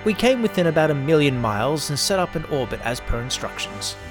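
A man's voice: fairly high pitch, sing-song, brisk. A man reading a scripted first-person pulp science fiction story as narrator. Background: faint music.

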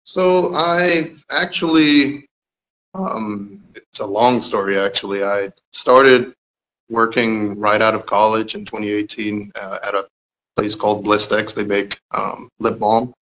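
A young man from Georgia speaks slowly and deliberately, leaving pauses as he recounts his path to his lab job. His voice sits somewhat low for a man and never rushes. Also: a bit bright, muffled recording. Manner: unhurried, measured, with pauses and "um"s. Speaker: lab technologist, guest. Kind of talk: casual interview answers.

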